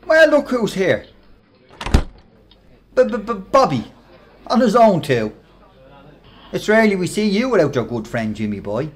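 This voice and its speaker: A brash Dublin workplace bully in a radio play. His voice sits a little high and swings widely in pitch. He is slangy, cocky and sneering, always needling. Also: sing-song, a little slow, long pauses. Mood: mocking. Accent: Irish, Dublin.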